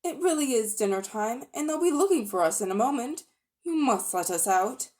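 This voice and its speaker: An anxious, headstrong young boy who talks urgently and insistently, as a woman plays him. His voice sits high and moves a lot, fit for a children's storybook. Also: expressive, normal speed, darkish tone, natural voice.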